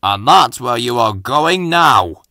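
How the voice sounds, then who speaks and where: A man's voice: mid-range voice, lively intonation, takes it slowly, creaky, sharp tone, no echo, clean studio sound, full character voice. A man voicing a stern, authoritative railway controller who admonishes his engines in a storybook. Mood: firm, approving.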